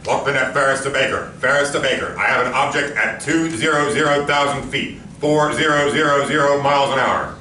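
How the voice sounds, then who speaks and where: A man's voice: medium pitch, fairly even intonation, brisk, hardly any pauses, natural voice. Clipped man as military radio operator, echoey. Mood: businesslike alert. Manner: clipped, procedural. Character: brisk military man making radio call report. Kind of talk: live radio drama acting. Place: community room of a library, live performance. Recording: echoey, noisy room, distant microphone.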